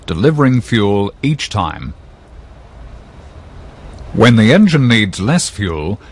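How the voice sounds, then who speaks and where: A man narrating an educational film in a scripted, measured and precise explanatory manner. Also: lowish voice, breathy edge.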